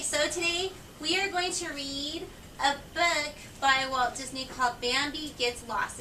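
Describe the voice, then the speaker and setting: A woman's voice: on the high side, bright, forceful tone. A woman reading a picture book aloud to children and prompting her listeners with questions. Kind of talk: read-aloud storytelling to children. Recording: echoey, noisy room, far from mic.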